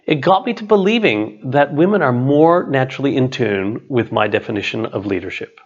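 A middle-aged man, an author and coach, reads his own written text as scripted narration in a measured, deliberate way. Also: mid-range voice, animated, lo-fi sound. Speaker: author, business and leadership coach.

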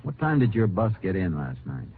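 A calm, commanding Texas Ranger from a 1950s radio serial. His American voice sits low and his delivery is clipped and probing. The old recording is thin and tinny, with plain noise over it. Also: a little fast. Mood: firm, probing. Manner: terse, probing questioner. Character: calm, authoritative male Texas Ranger investigator. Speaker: lead actor and narrator. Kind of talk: 1950s radio drama acting.

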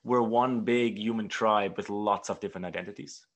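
A male podcast host with a lowish voice poses reflective questions. He thinks out loud, often repeating words before finishing his point. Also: normal speed. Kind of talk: conversational interview.

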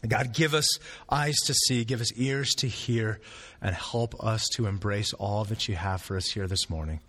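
A man's voice: on the low side, moderate pace, fairly bright tone. A man preaching a sermon as pastor, earnest and repeating phrases for emphasis, with a sore throat and a fading voice.

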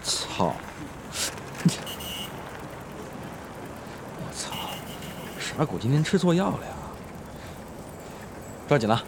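A man's voice: mid-range voice, big pitch swings, a little slow, background noise. A male actor playing a brash, foul-mouthed, teasing teenage schoolboy in an audio drama, in slangy exclamations. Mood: curious. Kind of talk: Chinese audio drama acting.

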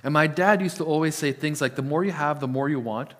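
A man's voice: a little high, a little fast, fairly bright tone. A man preaching a sermon, earnest and often repeating words as he starts a sentence; Italian was his first language. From Italian family. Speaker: pastor.

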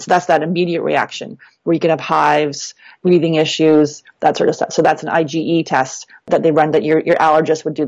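Low, chatty woman guest, explains step by step, close dry mic. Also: darkish tone, dry recording, highs cut off. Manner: chatty, explains step by step with many "you know" and "like" fillers. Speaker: fertility coach and guest. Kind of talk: casual explanatory interview talk.

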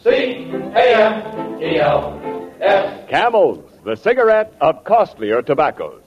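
A man's voice: high-pitched, big pitch swings, slow pace, loud music behind the voice. An American man announcing in polished, emphatic 1940s radio style, reading a scripted advertisement. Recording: dull old 1940s radio recording.

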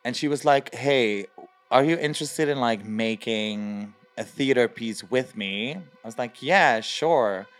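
A young man from Belgium, a drag performer, chatting in an interview with many fillers and lively quoted asides. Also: mid-range voice, a little slow, soft background music. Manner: chatty, lots of fillers, animated quoting. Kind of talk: casual interview.

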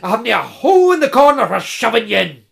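A man's voice: extremely high pitch, big pitch swings, fast, creaky, fairly bright tone, pitched above the performer's own voice, full character voice. A man voicing a suspicious, grumbling old woman who coughs.

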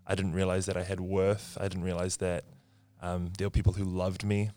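A man's voice: deep voice, completely flat delivery, a little fast, darkish tone. A man in his twenties with a New Zealand accent, a podcast guest telling his story in an earnest, reflective way. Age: 20s. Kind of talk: casual chat.

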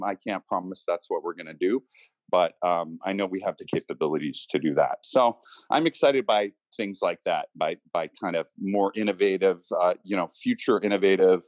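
Deep voiced guy on a podcast, dry sound, talking it through haltingly. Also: no echo. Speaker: podcast guest, company team member. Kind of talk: casual interview answer.

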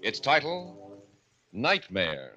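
A man's voice: medium pitch, huge pitch swings, drawn-out words, over loud music. A man announcing dramatically and emphatically as a 1940s radio announcer. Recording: dull, noisy old radio recording.

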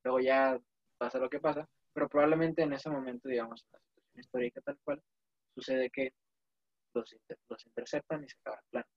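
A young man with a Mexican accent chatting casually in slangy, digressive, animated storytelling. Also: medium pitch, brisk, dry recording, muffled recording. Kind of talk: casual chat.

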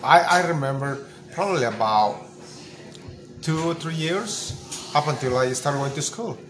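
A man in his sixties from Mexico, a grandfather answering questions slowly and repeating himself in a casual family interview. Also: fairly high pitch, lively intonation, fairly bright tone, faint music behind. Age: 60s. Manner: slow, halting, repeats himself. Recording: noisy home recording.

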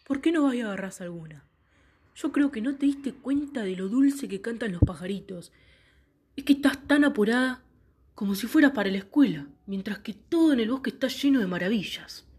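Sly storybook wolf, played by a woman: husky, coaxing, sweetly deceitful, radio play. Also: fairly high pitch, expressive, normal speed, a little mellow. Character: sly, smooth-talking male wolf of a fairy tale. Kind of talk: school radio play acting.

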